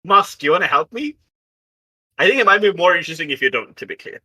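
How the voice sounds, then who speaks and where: A man's voice: high-pitched, big pitch swings, quick pace, bright, forceful tone. A man playing a tabletop roleplaying game, talking casually and reasoning aloud as he goes.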